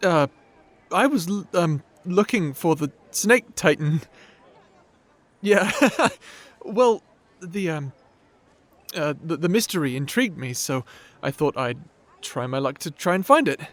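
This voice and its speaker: A man's voice: fairly high pitch, lively intonation, measured pace. A man playing a shy, nerdy young wizard in a fantasy audio roleplay, awkward, apologetic and polite, stammering through his words. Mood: nervous, evasive.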